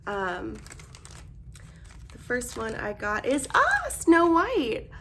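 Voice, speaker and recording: A young woman vlogger chatting casually through an unboxing, bubbly and gushing, her voice hoarse as she loses it. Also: on the high side, wildly swooping pitch, very slow, pushed and bright.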